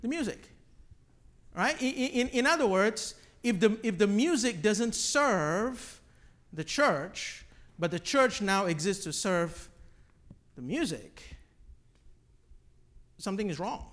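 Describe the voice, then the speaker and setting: A man from Malaysia teaching a class informally, halting and repeating words, often checking in with "right?". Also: sits high, big pitch swings, a bit bright. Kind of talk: informal teaching with questions from the group.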